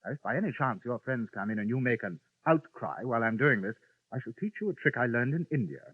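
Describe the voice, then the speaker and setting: An actor playing a polite, drily menacing gentleman gunman, who also narrates in 1950s radio-drama style. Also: mid-range voice, lively intonation, fast talker. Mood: cool menace. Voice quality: crisp, formal, drily menacing. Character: cool, polite gentleman gunman, middle-aged man. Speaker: narrator and lead. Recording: tinny old radio broadcast, faint hiss.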